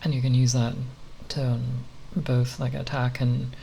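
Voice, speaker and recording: A speaker giving an unscripted ASMR ramble in a halting, nerdy, hesitant manner with long pauses. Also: medium pitch, little pitch movement, vocal fry, dark tone, low-quality recording. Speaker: ASMR content creator. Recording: faint background noise.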